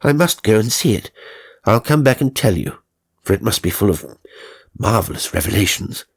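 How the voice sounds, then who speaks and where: Man acting a peevish, proper gentleman ghost. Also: lowish voice, animated, normal speed, gravelly, husky, full character voice. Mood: eager. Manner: exasperated, emphatic, proper. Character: good-natured but irritable gentleman spirit at a séance. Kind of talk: dramatised audiobook ghost story.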